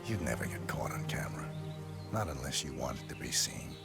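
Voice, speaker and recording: A cold assassin, extremely low voice, clipped and calm, game cutscene with loud score underneath. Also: male voice, normal speed, creaky, heavily acted. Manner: clipped, calm, few words. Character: cold, terse professional male assassin. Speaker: video game character. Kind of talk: cinematic game dialogue. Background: game soundtrack music.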